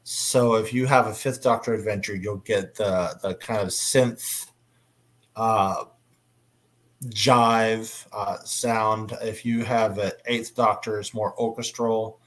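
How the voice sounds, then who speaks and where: A man hosting a casual convention panel, talking in an enthusiastic, halting way. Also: lowish voice, fairly even intonation. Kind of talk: casual panel discussion about audio dramas.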